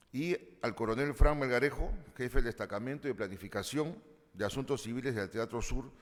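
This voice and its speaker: A man introducing a conference session in a formal, measured, ceremonious way, with the odd filler. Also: mid-range voice. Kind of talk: formal prepared address. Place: large conference hall. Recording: long hall reverb.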